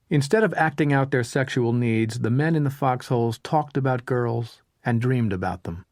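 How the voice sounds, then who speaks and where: A man narrating a history audiobook in a measured, even way. His voice sits at a middle pitch for a man. The recording is dry and close, with no room sound. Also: no echo. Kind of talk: scripted narration of a history book.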